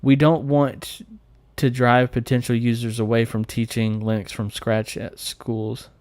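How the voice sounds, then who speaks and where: A man's voice: mid-range voice, flat delivery, a little slow, a little mellow, no room sound. A man with a Southern American accent chatting informally and jokingly on a live stream as he answers comments. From United States.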